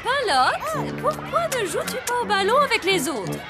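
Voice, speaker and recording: A woman's voice: very high-pitched, very animated, moderate pace, loud music behind the voice, over a noisy crowd, full character voice. A woman voicing a prim, strict cartoon schoolteacher with a theatrical, lecturing manner. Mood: stern. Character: prim female schoolteacher, strict, openly favouring pets. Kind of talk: animated series dubbing.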